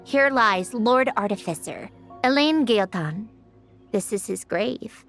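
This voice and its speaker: A proud, guarded female automaton from a video game, curt and dry in her speech. Her voice sits a little high, with a lively, swinging pitch and an unhurried pace. Game music plays clearly behind her. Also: exaggerated voice. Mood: grieving.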